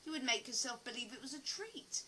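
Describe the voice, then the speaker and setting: A woman's voice: high voice, big pitch swings, brisk, pushed and bright, a little hiss or hum, full character voice. An actress playing an earnest, philosophical woman survivor in an audio play, with reflective, dramatic phrasing. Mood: earnest, intense.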